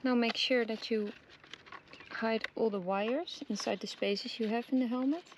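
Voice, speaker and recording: A woman presenting a casual hands-on tutorial, giving simple step-by-step instructions with hesitant pauses. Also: a little high, fairly even intonation. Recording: clearly noisy background with clicks.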